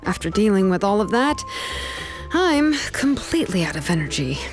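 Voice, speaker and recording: A woman's voice: medium pitch, very animated, moderate pace, faint music behind, close to an everyday voice. A woman playing a cool, capable, laid-back young woman in an anime game, talking in a casual, self-assured way. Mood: calm, decisive.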